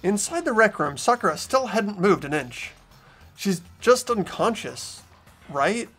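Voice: mid-range voice, big pitch swings, unhurried, a bit bright, husky, full character voice. A performer playing an earnest, easily startled teenage schoolboy who narrates and speaks in a visual novel dub. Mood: worried. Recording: background game music.

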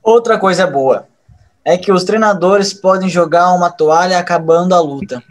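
Man acting deadpan high-voiced campaign trainer. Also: moderate pace, natural voice. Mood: wry. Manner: deadpan, sardonic. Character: dry, resigned male campaign trainer, fatalistic. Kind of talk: audio drama acting.

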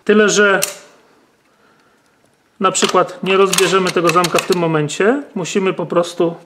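A man's voice: sits high, steady tone, normal speed, background noise. A man, demonstrating and explaining a rifle step by step, with many fillers and tag questions. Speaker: gun channel host. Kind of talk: hands-on firearm demonstration.

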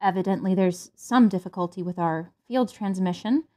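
A composed female news announcer , played by an actress, in a vintage 1930s-style radio drama. Her medium-pitched voice delivers scripted bulletins in a formal, measured way. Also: mellow, lightly acted.

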